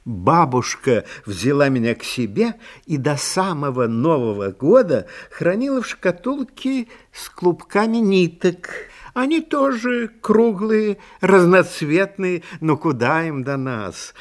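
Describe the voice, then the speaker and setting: A man playing a vain, fragile, sentimental Christmas tree bauble who tells his story in a genteel, self-pitying, theatrical way in a children's fairy-tale audio play. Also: a little high. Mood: haughty, wistful.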